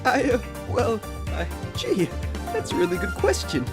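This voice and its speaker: Man acting a shifty, melodramatic witness over loud music. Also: sits high, huge pitch swings, measured pace, exaggerated voice. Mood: flustered. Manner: theatrical, stammers when challenged. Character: shifty male door-to-door salesman witness, melodramatic, nervous. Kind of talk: video game fan dub courtroom drama.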